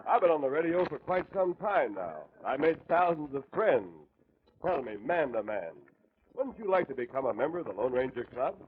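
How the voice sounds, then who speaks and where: Noble western hero, earnest and paternal, expressive 1940s radio delivery, phone-thin vintage sound. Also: male voice, fairly high pitch, moderate pace, husky, full character voice. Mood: earnest, dignified. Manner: stately, sincere, paternal. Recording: tinny, narrow 1940s radio recording.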